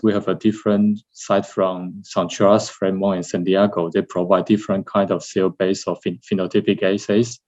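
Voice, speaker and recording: A middle-aged man from Taiwan with a Taiwanese accent gives a webinar slide presentation as a lab director, haltingly repeating and correcting his words. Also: sits low, a little mellow. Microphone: video call, seated at computer. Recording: dull, lo-fi webinar audio.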